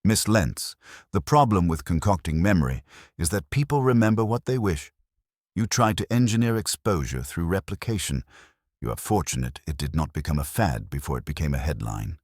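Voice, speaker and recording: A man doing a stout, imperious older detective, formal and exact in every word. The voice sits extremely low and husky, yet moves with lively shifts of pitch. It is recorded close, with no room sound. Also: no echo. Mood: dry lecturing. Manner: precise, measured, formal diction.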